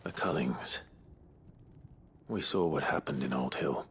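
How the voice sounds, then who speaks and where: A man's voice: very deep voice, unhurried, gravelly, fairly bright tone, breathy edge, heavily acted. An actor playing a brooding exiled nobleman warrior, terse and grave, in a fantasy video game. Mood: troubled, hushed. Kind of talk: dramatic video game cutscene acting.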